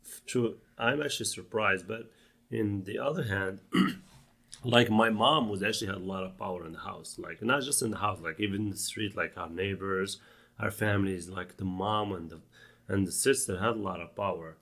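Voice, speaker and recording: A man from Morocco with a Moroccan accent, co-hosting a casual podcast chat in a halting way, searching for his words. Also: fairly low pitch, fairly bright tone. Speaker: podcast co-host.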